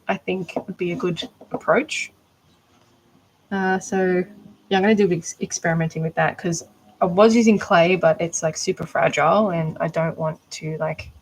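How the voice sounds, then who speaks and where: Chatty Australian woman, lowish voice. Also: a little hiss or hum, rough recording. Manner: chatty, rambling, thinking aloud. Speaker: art doll maker. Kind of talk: casual livestream chat while crafting.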